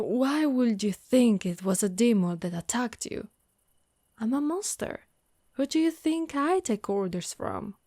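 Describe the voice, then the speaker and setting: A woman's voice: mid-range voice, dark tone, no room sound, studio quality, close to an everyday voice. A woman voicing a caring, wry female Dullahan, an undead knight, in a reassuring, teasing, conversational ASMR monster roleplay. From Italy. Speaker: voice actress.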